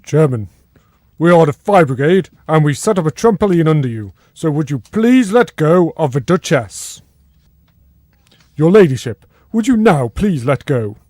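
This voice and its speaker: A man's voice: on the high side, very animated, a little slow, darkish tone, no echo. A man playing a polite, patient fireman in a radio comedy. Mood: patiently firm.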